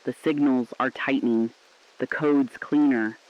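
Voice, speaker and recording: A man's voice: medium pitch, fairly even intonation, measured pace, natural voice. A man playing an earnest, defiant radio correspondent in a shelter, giving a solemn, dramatic broadcast on a dull, dry recording. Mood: ominous.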